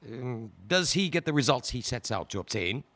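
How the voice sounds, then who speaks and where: An actor playing a male prosecutor who questions a witness in a formal, probing way, with careful pauses. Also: medium pitch, big pitch swings, fairly bright tone. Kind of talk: audio drama acting.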